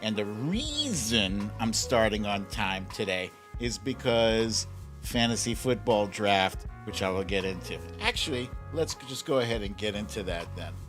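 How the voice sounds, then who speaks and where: Slow-paced American man hosting a livestream. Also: lowish voice. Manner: informal, playful, drawn-out shout-outs to viewers. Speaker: livestream show host. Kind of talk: casual livestream chat to viewers.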